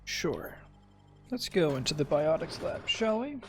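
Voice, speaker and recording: A man's voice: fairly high pitch, very animated, a little slow, soft background music. A man giving casual, joking gameplay commentary as a streamer, with some swearing. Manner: joking, playful, profane. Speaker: video game streamer.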